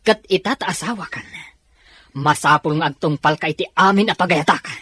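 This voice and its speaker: A man's voice: on the high side, fairly quick, pushed and bright. A man playing a controlling, stern husband in a radio drama. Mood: angry, demanding.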